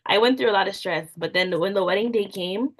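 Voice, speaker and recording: A young woman, an event planner, answering interview questions in relaxed casual talk, with lots of "like" fillers. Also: medium pitch, a little fast, rough recording. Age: young adult. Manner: soft-spoken, frequent "like" fillers.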